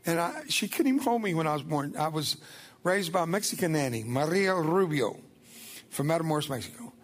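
A man's voice: fairly high pitch, expressive, a little fast. Emphatic older man preaching a testimony. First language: Spanish. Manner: folksy, emphatic storytelling. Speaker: guest speaker. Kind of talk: church testimony sermon.

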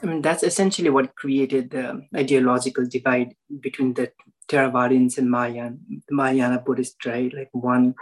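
A man's voice: mid-range voice, fairly even intonation, normal speed, low-quality recording. A man from Nepal, new to a meditation group, thinking aloud in a casual discussion and searching for words. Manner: thoughtful, searching for words, frequent ums and rights.

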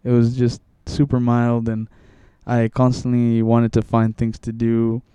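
A man's voice: medium pitch, monotone, a little slow, warm tone, smooth, dry recording. A young man from Mexico, telling his story in a casual interview, rambling with many restarts and fillers. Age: young adult.

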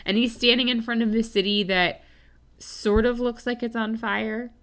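A woman's voice: on the high side, steady tone, normal speed, a bit bright. A woman in her twenties co-hosting a podcast while she has a cold, chatting casually and teasing dryly. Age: 20s. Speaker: podcast co-host. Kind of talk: casual chat.

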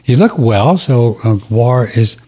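A man's voice: medium pitch, lively intonation, unhurried, warm tone. A man hosting a live talk radio show, rambling casually with many fillers.

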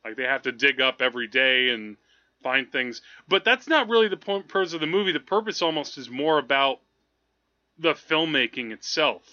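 Bright, forceful man rambling about movies. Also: medium pitch, expressive, muffled recording. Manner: rambling, halting, thinking aloud. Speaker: film podcast host. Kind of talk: casual movie discussion.

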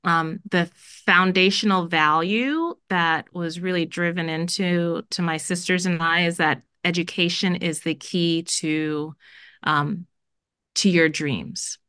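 Bright, smooth-voiced woman from Jamaica. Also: fairly low pitch, steady tone, takes it slowly, dry recording, clean studio sound. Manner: earnest, articulate, many fillers. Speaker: guest, school dean. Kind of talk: podcast interview, conversational.

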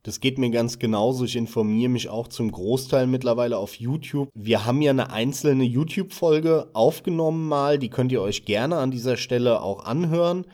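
A German-speaking man co-hosting a podcast, chatting about gaming websites in a relaxed, casual way with a few filler sounds. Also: medium pitch, normal speed, few pauses. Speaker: podcast co-host.

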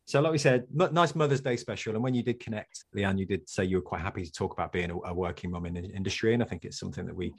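Deep husky male host, rapid rambling, affirming. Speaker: podcast host. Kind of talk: casual interview chat.

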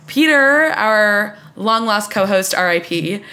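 A woman's voice: medium pitch, animated, slow pace, bright, forceful tone. A woman in her twenties with an American accent, co-hosting a casual pop-culture podcast in an informal, playfully teasing way. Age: 20s. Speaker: podcast co-host.

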